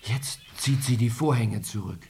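Children's radio play: thoughtful curious piglet, very unhurried, earnest, ambient noise behind. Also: male voice, medium pitch, gravelly. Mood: earnest, eager.

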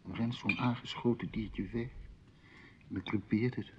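An actor in a Dutch radio drama playing an intrusive, flattering musician who is a dinner guest with secret desires. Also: male voice, fairly low pitch, moderate pace, natural voice. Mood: insinuating. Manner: flattering, florid, provocative. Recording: muffled, noisy old radio play recording.

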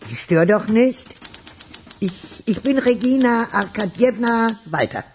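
A woman in a German radio crime drama playing a friendly, coaxing old lady neighbour with a husky voice. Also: medium pitch, lively intonation, measured pace, darkish tone, a little hiss or hum, natural voice.

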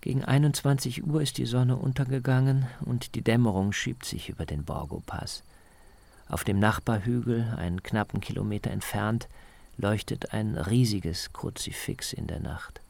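A man reading scripted first-person documentary narration in a measured, descriptive storytelling manner. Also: medium pitch, vocal fry, a little mellow. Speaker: radio feature author and narrator.

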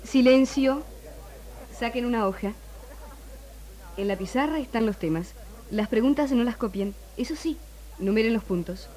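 A woman's voice: a little high, normal speed, pauses often, dark tone, lightly acted, low-quality recording. An actress playing a determined, guarded young woman in a 1960s Argentine radio drama. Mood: stern. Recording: 1960s radio archive, music behind.

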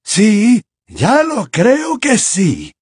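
A man doing a serene, slow old headmaster. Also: high voice, big pitch swings, husky, no room sound, clean studio sound, pitched above the performer's own voice, full character voice. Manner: slow, serene, gently insistent. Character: calm, wise, very old male headmaster. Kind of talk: audiobook character voice.

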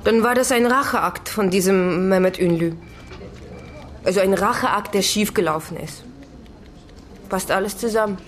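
A woman's voice: medium pitch, moderate pace, pauses often, natural voice. A woman acting an eager junior newspaper journalist with a hesitant, questioning manner in a naturalistic German radio drama. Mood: convinced. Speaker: actor.

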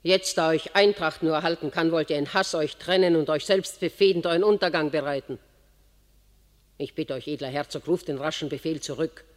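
A woman's voice: sits low, a little fast, natural voice. An actress playing an imperious queen in a classic radio play, declaiming verse urgently. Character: imperious queen, pleading and rebuking. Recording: long hall reverb.

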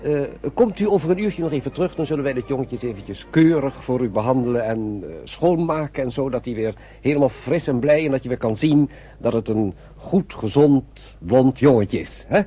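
An actor in a radio play as a fussy, obliging army doctor, hesitant and condescendingly kind. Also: male voice, medium pitch, brisk, breathy edge, lightly acted. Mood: bland, fussy. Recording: dull old radio play, music behind.

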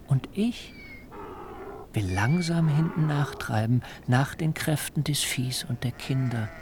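A wily, disdainful biblical brother in a radio drama. He speaks slowly and pointedly, with a gravelly creak. Faint farm animal ambience sits behind him. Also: mid-range voice, a little mellow, a little hiss or hum. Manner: measured, pointed, smoothly evasive. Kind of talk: German literary radio drama acting.

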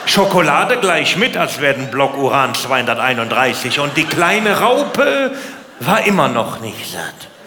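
Animated stand-up man in an echoey hall. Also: mid-range voice, measured pace. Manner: repeats words, acts out little scenes. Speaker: stand-up comedian. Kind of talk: stand-up comedy storytelling. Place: large live venue. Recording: echoey hall, live stage, noisy.

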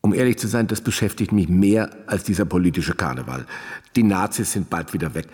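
An actor playing a distinguished, preoccupied middle-aged professor in a radio drama, with a husky voice. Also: male voice, low voice, a little fast, a little mellow. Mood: weary, testy. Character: distinguished older Austrian professor, preoccupied, later dazed and dying. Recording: long reverberant hall with music underneath. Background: music.

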